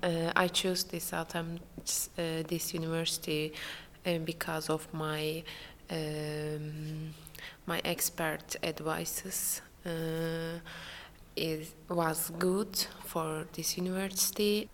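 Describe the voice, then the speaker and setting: Slow, gravelly young woman from Turkey. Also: deep voice, level tone, slight background noise. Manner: hesitant non-native English with many fillers. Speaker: international student interviewee. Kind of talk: casual interview answers. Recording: hall reverb with faint ambience.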